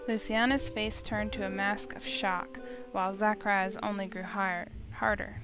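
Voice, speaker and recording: A woman's voice: mid-range voice, little pitch movement, normal speed. A woman narrator reading scripted fantasy story narration. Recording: loud music under the voice. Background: loud music.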